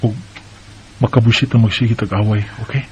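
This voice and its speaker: A man's voice: fairly low pitch, steady tone, a little fast, natural voice. A man with a Bisaya Filipino accent playing a married man placating his girlfriend in a radio drama. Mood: pleading, placating. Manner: persuasive, placating. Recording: dull lo-fi sound, faint background noise.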